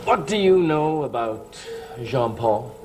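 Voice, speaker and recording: A man's voice: medium pitch, sing-song, slow, background noise. An actor playing an insistent man who questions directly and probingly in a gothic soap opera. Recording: vintage TV soundtrack with music.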